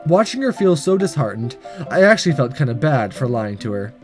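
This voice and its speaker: A man's voice: medium pitch, very animated, brisk, darkish tone, soft background music. A man hosting a gaming video, reading a visual novel aloud with casual comments and stumbling over the odd line. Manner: stumbles and rereads lines.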